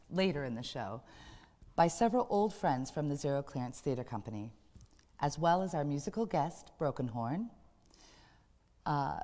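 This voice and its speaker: A woman's voice: deep voice, a little mellow. A woman hosting a variety show, introducing segments and guests in a scripted manner. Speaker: show host. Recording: long hall-like reverb.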